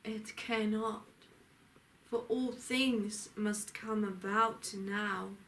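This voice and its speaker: A woman delivering a channeled sermon, speaking as a divine lord in a solemn, declamatory way with frequent pauses. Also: mid-range voice, steady tone, fairly bright tone, a little hiss or hum, low-quality recording. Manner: solemn, declamatory, pausing often. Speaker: spiritual channeler.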